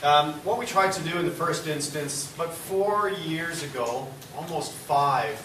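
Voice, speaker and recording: A man gives a talk to a seated audience, loud and conversational, often pausing on "uh" and "um". He is recorded from far off in an echoing room with steady background noise. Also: fairly high pitch. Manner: conversational, loud-voiced, hesitant fillers. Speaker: university executive speaker. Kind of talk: symposium presentation. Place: lecture room or studio space. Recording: roomy hall from far off, noisy.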